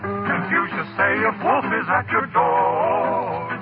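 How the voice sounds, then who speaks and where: A man's voice: high-pitched, steady tone, slow. A man theatrically singing a 1940s comic novelty number in clipped mock pidgin English. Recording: tinny 1940s radio recording, loud music.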